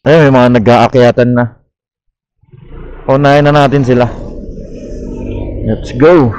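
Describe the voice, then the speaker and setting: A man's voice: medium pitch, steady tone, slow, darkish tone, clean tone, poor audio. A Filipino-accented man chatting casually as a motorcycle vlogger during a ride. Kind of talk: casual riding chat.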